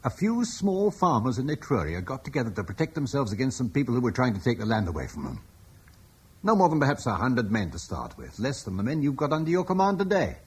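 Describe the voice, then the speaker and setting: An actor playing an earnest, eloquent Romano-British landowner who speaks persuasively in defense of Rome's legacy, in a classic radio drama. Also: male voice, medium pitch, huge pitch swings, quick pace, slight background noise.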